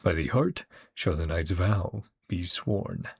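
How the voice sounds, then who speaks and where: A man's voice: low-pitched, animated, takes it slowly, vocal fry, husky, voice pushed down, exaggerated voice. A man voicing a grave, dramatic narrator of knightly legend, reading game story text aloud. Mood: solemn. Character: solemn narrator of knightly vows and triumphant warriors.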